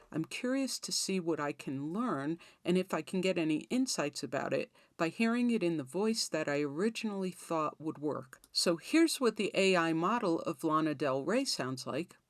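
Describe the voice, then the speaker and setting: Female tutorial host, slightly low pitch, lively intonation, conversational explainer delivery, close dry sound. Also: no room sound. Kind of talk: instructional tutorial narration.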